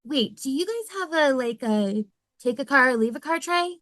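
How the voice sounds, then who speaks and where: A woman's voice: high-pitched, animated, normal speed, fairly bright tone, no echo, clean studio sound. A woman reading an awkward, rambling, dazed man in a sitcom table read.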